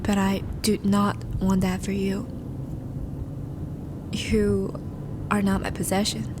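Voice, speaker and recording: A woman playing a charming, courtly vampire suitor in a romantic audio roleplay, speaking formally and gently to the listener. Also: medium pitch, monotone, slow, noisy background, lightly acted. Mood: earnest, reassuring. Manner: formal, courtly, gentle phrasing with soft sighs.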